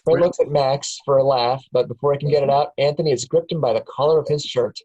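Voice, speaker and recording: A man's voice: mid-range voice, fast talker, darkish tone, dull audio. A man reading a screenplay's stage directions aloud at a table read.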